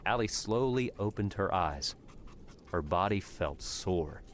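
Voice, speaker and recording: A man's voice: low-pitched, animated, slow. A man narrating a scripted fantasy story as an audiobook narrator. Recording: clearly noisy background.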